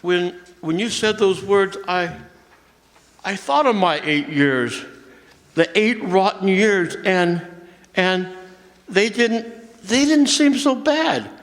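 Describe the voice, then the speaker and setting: A man's voice: high-pitched, animated, takes it slowly, pauses often, a bit bright, heavily acted. An actor playing a grief-stricken, embittered night driver in a radio drama, stammering and repeating his words. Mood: anguished, wondering. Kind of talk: stage radio drama acting. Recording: live stage performance, long hall reverb.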